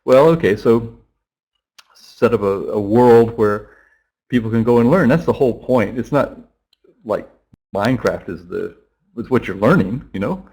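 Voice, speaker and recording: A man's voice: medium pitch, unhurried, long pauses, darkish tone, breathy edge, low-quality recording. Rambling middle-aged man thinking aloud. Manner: rambling, thinking aloud with many restarts and fillers. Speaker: interview guest, teacher. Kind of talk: conversational interview answer.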